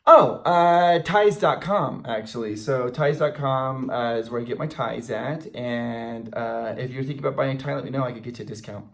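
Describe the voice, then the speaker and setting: A man's voice: mid-range voice, moderate pace, creaky, few pauses. An American man in his forties in casual, joking banter with his partner as co-host of a fan video. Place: indoors in front of a logo backdrop.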